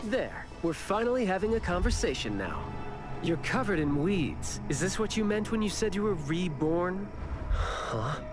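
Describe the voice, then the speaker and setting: An actor playing a teasing, inquisitive young man in an anime game, with a drawn-out theatrical delivery and music behind him. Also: high voice, sing-song.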